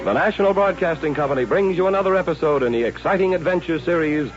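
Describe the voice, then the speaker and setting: A man's voice: a little high, fast. An American man announcing an old radio western in a grand, dramatic, scripted style. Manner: grand, stentorian. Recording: dull vintage radio recording, music behind.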